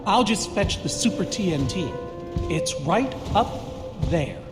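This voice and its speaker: An actor playing an eccentric, boastful inventor in a video game, rambling theatrically and correcting himself. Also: male voice, sits high, sing-song, measured pace. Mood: breezy. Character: eccentric, boastful male inventor with evasive humor. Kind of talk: video game character acting. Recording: echoey game audio under loud music.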